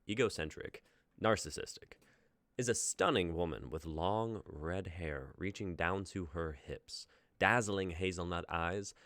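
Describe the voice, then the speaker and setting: A young man with a very low voice speaks from a home mic with a dry, close sound. He is laid-back and laughs easily. When he talks about his own writing, he turns reflective. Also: no echo. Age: young adult. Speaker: host and stage-direction reader. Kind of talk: casual chat and script narration.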